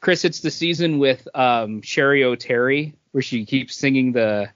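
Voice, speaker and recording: A man's voice: mid-range voice, normal speed, a bit bright. A man chatting casually as a podcast host, telling anecdotes and acting out what people said. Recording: dull, echoey room sound.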